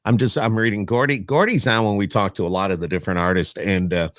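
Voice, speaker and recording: A man's voice: on the low side, quick pace, fairly bright tone, no echo, clean studio sound. A man hosting a casual remote interview, talking in an informal, rambling and self-correcting way. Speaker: podcast host, radio interviewer.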